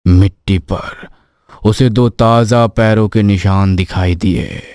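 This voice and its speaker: A man's voice: fairly low pitch, steady tone, takes it slowly, smooth, dry recording, clean studio sound. A man narrating a scripted horror story in a dramatic, suspenseful manner. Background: loud music bed.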